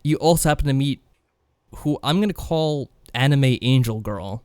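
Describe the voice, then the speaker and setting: A man's voice: medium pitch, normal speed, darkish tone, smooth, no room sound, studio quality. A man co-hosting a podcast, casually recapping a game in a wry, tongue-in-cheek way. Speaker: podcast co-host.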